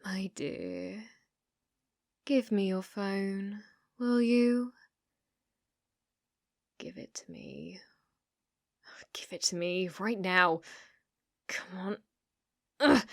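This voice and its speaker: A woman's voice: medium pitch, very slow, long pauses, dry recording, clean studio sound. A British woman playing an obsessive, sweetly possessive young female stalker in an ASMR roleplay, coaxing and often dropping into whispers. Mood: insistent.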